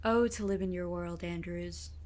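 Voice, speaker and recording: A woman's voice: on the low side, steady tone, moderate pace, a little mellow, lightly acted. A woman playing a sardonic, reluctant teenage best friend in an audio drama, dry and exasperated.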